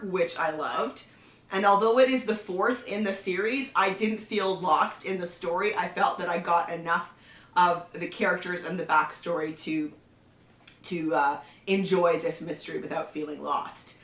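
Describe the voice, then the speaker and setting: A woman's voice: lowish voice, fairly bright tone. Chatty woman with a cold, echoey room. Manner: chatty, laughs often, clears throat. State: has a cold. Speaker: book reviewer host. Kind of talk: casual book review chat. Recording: roomy echo, somewhat far from the mic.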